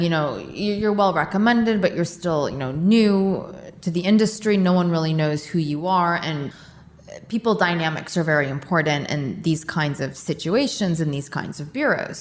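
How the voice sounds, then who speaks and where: A woman being interviewed on a podcast, telling her career story in an animated, self-mocking way. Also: lowish voice, moderate pace. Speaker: guest, war correspondent. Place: charity office, roomy. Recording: echoey room with faint noise.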